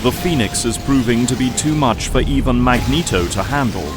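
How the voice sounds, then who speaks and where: A man's voice: mid-range voice, animated, moderate pace, over loud music. A man delivering dramatic scripted narration for an audio drama.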